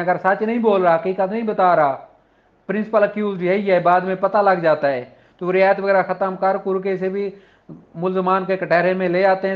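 A man with a Pakistani accent, lecturing informally as a law teacher and switching between explanation and slowly read-out legal English. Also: high-pitched. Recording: dull, lo-fi audio.

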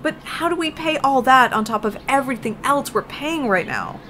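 A woman plays a superhero's young wife in a comic dub. She sounds earnest, hesitant and apologetic, in a fairly high voice. Outdoor ambience is audible behind her. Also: expressive, normal speed, pushed and bright, slight background noise. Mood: worried.

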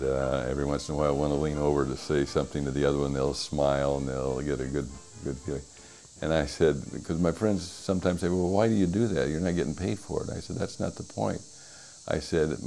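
Brief: modest older radio hobbyist, hesitant restarts, very deep warm tone, lapel mic outside. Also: male voice. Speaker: old-time radio show host. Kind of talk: casual interview chat. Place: shaded outdoor patio.

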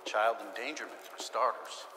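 A man's voice: on the low side, normal speed, lightly acted. An actor playing an older, bullying policeman villain in a video game, curt, threatening and coldly commanding. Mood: menacing. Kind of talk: cinematic video game acting. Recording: echoing hall, clear noise behind.

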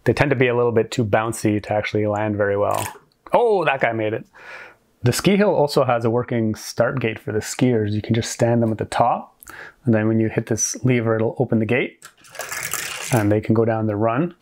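A man in his forties hosting a hobby video, giving a scripted, clearly structured explanation in an upbeat way. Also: mid-range voice, fairly quick, darkish tone. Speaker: host of a brick-building channel.

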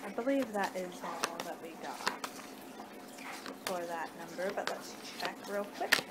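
A young woman doing an improvised ASMR roleplay, muttering in an unhurried, distracted way on a noisy amateur home recording. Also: on the low side. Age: young adult. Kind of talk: ASMR roleplay, improvised reading of fortune cards. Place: small home room, indoors. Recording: noisy amateur webcam-style room recording.

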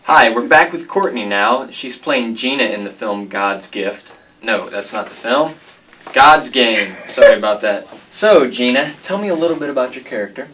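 A man's voice: medium pitch, animated, normal speed, fairly bright tone, far from the mic, slight background noise. A man working as an interviewer, putting questions to film cast and crew on camera.

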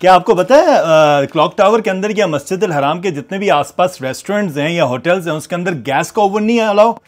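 A man's voice: sits high, lively intonation, fairly quick. A man in his thirties narrating a casual, enthusiastic food vlog, first walking through a mall food court, then in a hotel room. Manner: chatty, enthusiastic, mixes English phrases in. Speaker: food vlogger.

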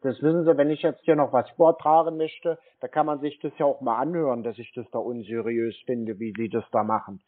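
A man's voice: medium pitch, steady tone, a little fast, hardly any pauses. A man on a phone call, arguing as a dissatisfied customer in a long-winded, insistent, scolding way. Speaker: caller posing as an aggrieved customer. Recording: narrow, tinny phone-like sound. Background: phone call recording.

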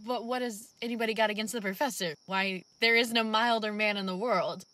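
A woman's voice: a little high, steady tone, brisk, sharp tone, no room sound. Woman playing an earnest young scientist, dry sound. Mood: polite, puzzled. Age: young adult. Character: earnest young female scientist, curious and loyal. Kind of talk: amateur old-time radio drama acting.

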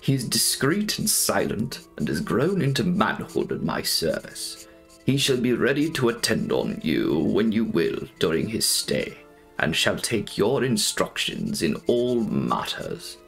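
A formal senior solicitor, voiced by a male reader in a storybook reading. His measured phrases move over a very wide pitch range, with a creaky edge. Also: very animated, fairly bright tone, soft background music, heavily acted. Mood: formal, confident. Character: gout-stricken senior English solicitor writing formal letter. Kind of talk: dramatic audiobook reading.

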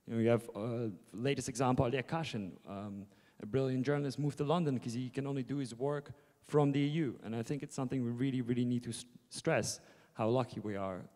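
A man's voice: medium pitch, fairly even intonation, brisk, hardly any pauses, darkish tone. A man from Russia speaking thoughtfully and a little hesitantly during a discussion. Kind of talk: earnest discussion. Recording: reverberant concert hall.

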